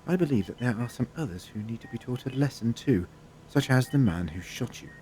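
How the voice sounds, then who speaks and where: A mellow, lively-pitched male adventurer, played by an actor in an audio drama. He is wry and measured, calmly authoritative, gently probing as he speaks. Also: a little hiss or hum, lightly acted, low-quality recording. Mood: wry, reassuring.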